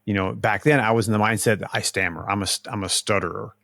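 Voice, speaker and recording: A middle-aged man hosting a podcast, speaking slowly and deliberately in a casual conversation. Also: fairly low pitch, fairly even intonation, creaky, a bit bright, no echo, studio quality. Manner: slow, deliberate speech, says he talks slowly to avoid stammering. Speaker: podcast host.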